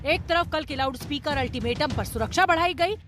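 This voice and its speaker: Female news voice-over, high pitch, scripted delivery, prominent music bed underneath. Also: a little fast, a bit bright. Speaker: news reporter.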